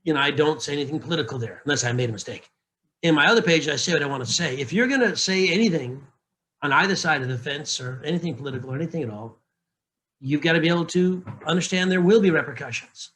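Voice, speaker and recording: A man's voice: a little high, animated, fast, a bit bright, a little hiss or hum. A middle-aged man, a narration coach, talking candidly in casual panel chat, joking and often restarting his sentences.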